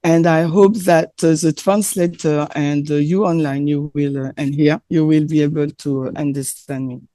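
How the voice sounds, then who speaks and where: A woman with a French accent, French her first language, delivering a sermon in English with hesitant, filler-laden pauses while an interpreter follows her. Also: deep voice, fairly even intonation, dark tone. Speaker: presenter.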